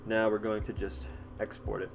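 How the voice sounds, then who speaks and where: A man hosting a casual software tutorial, explaining step by step in an informal, halting way. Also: on the low side, monotone, gravelly, a little hiss or hum. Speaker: tutorial host.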